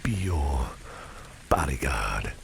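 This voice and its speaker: A man playing a suave, protective businessman boss with a menacing streak in an ASMR roleplay, speaking in a gravelly, intimate half-whisper with courteous insistence. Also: rumbling low, full character voice. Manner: unhurried, courteous, flirtatiously insistent, repeats phrases. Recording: clear background noise with rain ambience.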